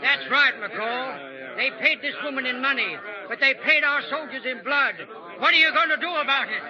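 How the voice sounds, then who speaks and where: An actor playing an angry townsman who loudly accuses a woman before a mob in a 1930s radio drama. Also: very high-pitched, animated, moderate pace. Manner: loud, accusing. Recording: dull 1930s radio broadcast with crowd.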